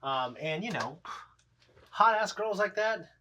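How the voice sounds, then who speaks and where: A man's voice: high-pitched, animated, measured pace, sharp tone, a little hiss or hum, rough recording. A man in his thirties chatting casually as a reaction show host, in a rambling, blunt, profanity-laced way. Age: 30s. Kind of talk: casual chat, episode discussion.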